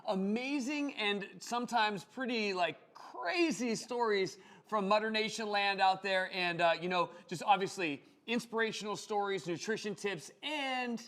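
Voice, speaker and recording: A man co-hosting a show, talking with energetic, playful banter. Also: high voice, a little slow, few pauses. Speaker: co-host.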